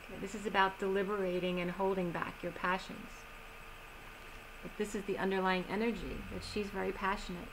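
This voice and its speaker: A woman giving a tarot reading in a slow, soothing manner, slightly strained as she is losing her voice. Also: medium pitch, little pitch movement, background noise. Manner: slow, soothing reading of oracle cards with gentle pauses. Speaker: tarot reader. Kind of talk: solo tarot reading video.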